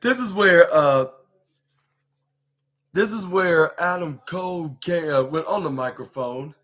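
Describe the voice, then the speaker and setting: A man's voice: a little high, slow pace, a bit bright. A man hosting his own podcast, recapping a show in a rambling way with many fillers and restarts. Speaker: podcast host. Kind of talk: casual solo show recap.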